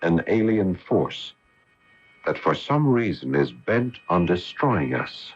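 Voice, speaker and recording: An actor playing an eager, awestruck astronaut commander in a 1980 radio drama, with an earnest, musing, dramatic delivery. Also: male voice, deep voice, very animated, slow pace, music in the background, exaggerated voice. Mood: grave. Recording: dull, noisy 1980 AM radio recording.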